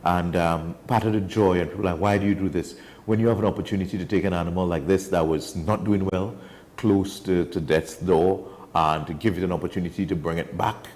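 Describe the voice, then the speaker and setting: A man's voice: sits low, steady tone, a little fast, a little mellow. A Trinidadian man from Trinidad and Tobago, an enthusiastic wildlife guest telling stories in a casual studio chat. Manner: enthusiastic, storytelling.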